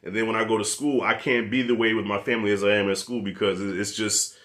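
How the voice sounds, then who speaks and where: A man's voice: on the low side, fast. A man in his thirties with a New York accent, reviewing a show on camera from his living room. He rants in a casual, loose way, swearing freely and talking with his hands. He speaks into a desk mic set up right in front of him. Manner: profane, animated, talks with his hands. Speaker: movie reviewer.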